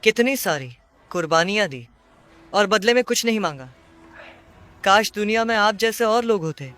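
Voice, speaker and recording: High-pitched earnest young hero. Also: male voice, lively intonation, moderate pace, pushed and bright. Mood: sincere, grateful. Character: earnest young man, friendly secret vigilante hero. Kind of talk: Hindi-dubbed video game dialogue.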